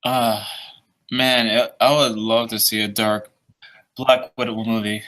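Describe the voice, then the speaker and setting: A man's voice: fairly low pitch, level tone, slow pace, a bit bright. A young man hosting a stream, chatting casually in a joking, laid-back way. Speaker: stream host. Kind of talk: casual chat over a call.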